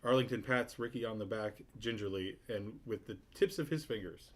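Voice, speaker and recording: A man's voice: on the low side, moderate pace. A man reading out stage directions as scripted narration at a table read.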